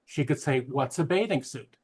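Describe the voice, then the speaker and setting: A man's voice: medium pitch, animated, normal speed, darkish tone, breathy edge. A man with a mid-range voice co-hosts a casual podcast. He speaks in short, dry asides and quips. The delivery is conversational and offhand. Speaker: podcast co-host. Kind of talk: casual banter.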